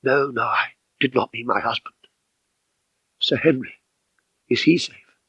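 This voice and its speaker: A man's voice: medium pitch, a little slow, bright, forceful tone, slightly rough, no room sound, muffled recording, heavily acted. A man voicing an anguished, bitter woman, an abused wife, with impassioned melodrama in an audiobook story. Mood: anxious, faint.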